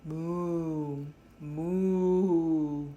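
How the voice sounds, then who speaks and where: A woman voicing farm animals from a storybook with loud, drawn-out moos, baas and brays. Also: low voice, steady tone, lo-fi sound. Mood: loud calling. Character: loud braying donkey and mooing, bleating farm animals. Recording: roomy echo, faint noise.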